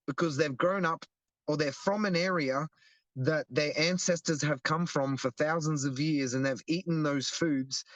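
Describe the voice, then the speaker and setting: A young Australian man talks in an earnest, explanatory way, circling back and restarting his sentences. His voice sits a little high for a man. The recording is close and dry. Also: a bit bright, no echo, low-quality recording. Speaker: guest, activist. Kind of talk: casual interview.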